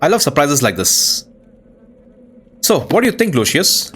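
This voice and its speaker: A man's voice: fairly high pitch, very animated, measured pace, fairly bright tone, breathy edge. A man hosting a gaming video with casual playthrough commentary, reacting aloud as he plays.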